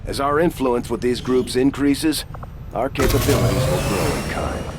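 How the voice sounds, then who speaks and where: An actor playing a serious, dutiful military officer giving a formal, urgent briefing in a video game. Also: male voice, medium pitch, expressive, normal speed, heavy background noise, close to an everyday voice.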